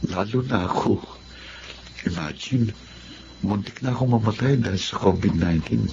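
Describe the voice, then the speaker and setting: A man's voice: fairly low pitch, normal speed, gravelly. A man playing an earnest, devoted lover in a Filipino radio drama, speaking sincerely. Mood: grateful, tender. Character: earnest, devoted man, grateful survivor and husband. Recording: dull, noisy radio drama recording.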